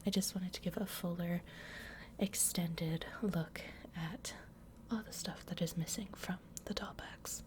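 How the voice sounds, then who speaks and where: A young adult woman whispers breathily in a soft-spoken ASMR style, chatting informally. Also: on the low side, steady tone, measured pace. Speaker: ASMR video creator. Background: clear noise, clicks and crinkles from handled items.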